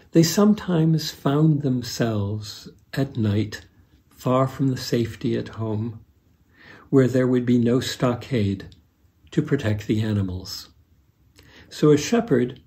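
An American man in his seventies giving a scripted sermon as a pastor, measured and deliberate, with pauses. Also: medium pitch, creaky, warm tone. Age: 70s. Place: furnished room with fireplace, indoors.

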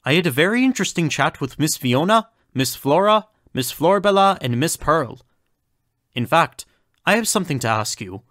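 A man acting the part of a polite, self-possessed doctor in an audio drama, speaking in a formal, measured way. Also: on the high side, big pitch swings, fairly bright tone, no echo, studio quality. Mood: polite, composed. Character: polite, self-possessed male doctor turned reluctant funfair ringmaster.

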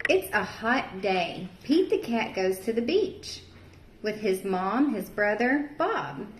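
A woman in her thirties, a teacher, reading a picture book aloud to young children and doing bright voices for the characters. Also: mid-range voice, lively intonation, slow, slight background noise. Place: home room, seated at a table with a child. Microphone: camera at table distance. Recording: echoey room.

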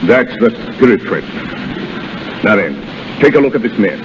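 A man playing a brisk, authoritative army colonel in a 1930s radio drama. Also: on the low side, expressive, natural voice. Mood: brisk, commanding. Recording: muffled, noisy 1930s radio, long reverb.

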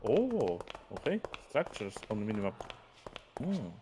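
Slow, halting Slovak man gaming. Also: fairly low pitch, huge pitch swings, dark tone, a little hiss or hum. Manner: halting, searching for words, many fillers. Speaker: co-host gamer. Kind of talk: casual gameplay chat. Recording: music playing over the voice.

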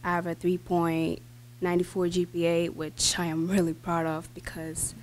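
A woman's voice: fairly low pitch, monotone, a little slow, slight background noise. A teenage girl reading a prepared speech at a school board meeting, with fillers and restarts. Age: teens. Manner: reads from notes with fillers and restarts. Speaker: student speaker. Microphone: podium microphone.

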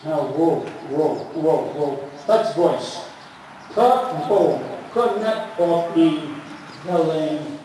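A male riding coach gives short, repeated commands in a slow, emphatic way. His voice is fairly high for a man and somewhat mellow. It comes from a distance across a very echoey covered arena. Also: animated, a little hiss or hum. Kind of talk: calling out instructions and critique during a show jumping clinic. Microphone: distant camera at the side of the arena.

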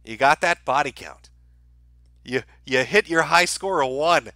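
A man hosts a livestream with casual, mocking commentary and plenty of swearing. His voice is lively, swinging widely in pitch, with a sharp, bright edge. The sound is dry and close, and his delivery is sardonic. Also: unhurried, dry recording. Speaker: livestream host.

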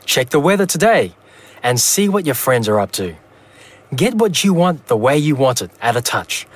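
A man's voice: mid-range voice, very animated, moderate pace, husky. A man working as a voice-over artist, reading scripted advertising narration with a polished announcer delivery.

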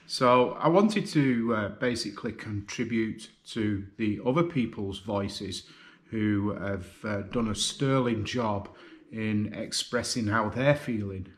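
A man in his fifties with a Lancashire accent, giving a personal video statement from his living room, earnest and halting with many fillers. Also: on the low side. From North West England. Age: 50s. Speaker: video contributor. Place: living room, seated on a sofa.

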